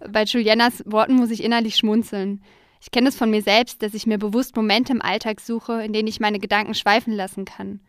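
A woman's voice: mid-range voice, level tone, a little fast, clear, resonant tone, no room sound. A woman reporter narrating in a light, personal way.

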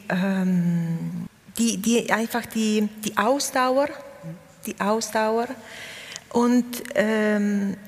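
A woman from South Tyrol, Ladin her mother tongue, speaks very slowly and thoughtfully, often pausing and restarting as she looks for the right word. Her voice sits at a middle pitch. A long hall reverb trails behind it. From South Tyrol, Italy. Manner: hesitant, searching for words with many pauses and fillers. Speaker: poet, interview guest. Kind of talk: reflective interview answers.

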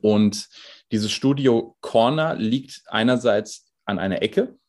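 A young man in his twenties with a lowish voice talks through his coaching career. He explains at a relaxed pace and fills his pauses with hesitations. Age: 20s. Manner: relaxed, explanatory, with many fillers. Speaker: strength and conditioning coach. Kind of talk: podcast interview.

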